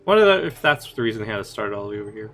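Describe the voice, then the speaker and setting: A man's voice: lowish voice, sing-song, rapid-fire, fairly bright tone, soft background music. A man giving casual, offhand commentary while playing a video game. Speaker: gamer, streamer.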